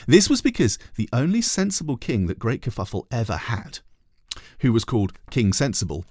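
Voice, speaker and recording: A man narrates a children's story in a fairly low voice. His intonation is hugely varied and expressive, and the sound is dry and close. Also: dry recording. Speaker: teacher reading aloud to children.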